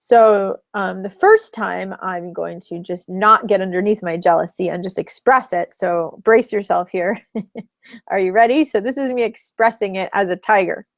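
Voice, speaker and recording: Woman coach, webinar teacher, slight vocal fry, dry close sound, chatty and explanatory. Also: medium pitch, normal speed, no room sound. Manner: chatty, explanatory, laughs between points. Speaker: relationship coach.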